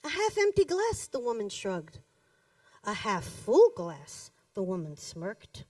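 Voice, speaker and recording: A woman performs her poems in a roomy space, heard from a distance. Her voice is fairly high and swings widely in pitch. She phrases each line deliberately, leaving pauses and leaning on key words. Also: wildly swooping pitch, a little mellow. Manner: deliberate, dramatic phrasing with pauses and emphatic stresses. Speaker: poet reading her own poems. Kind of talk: live poetry reading to an audience. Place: indoors in a cafe. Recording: roomy echo, distant microphone.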